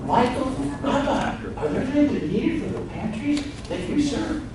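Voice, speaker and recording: A man's voice: very high-pitched, big pitch swings, measured pace, very noisy, voice pushed up, full character voice. A man playing an ancient, fussy male angel who rambles and giggles while teasing a crowd, recorded from far off in an echoey event room.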